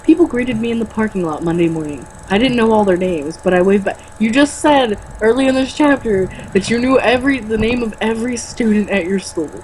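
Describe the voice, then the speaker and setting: A woman's voice: fairly high pitch, fairly quick, hardly any pauses, darkish tone, low-quality recording. Sarcastic, sweary woman over background noise. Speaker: co-host reading a novel aloud with mocking commentary. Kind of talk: read-aloud mixed with casual banter.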